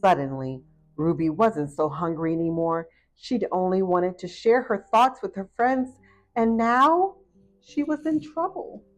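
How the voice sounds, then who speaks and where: A woman narrating a children's picture book aloud in an expressive storytelling style. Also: mid-range voice, measured pace. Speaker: storybook reader. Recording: muffled audio with faint music behind.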